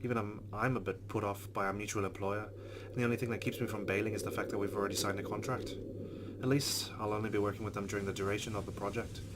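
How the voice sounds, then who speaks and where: A man's voice: on the low side, flat delivery, fast, noisy background. A man reading a game's narration aloud, adding wry asides. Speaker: let's play host. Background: game music.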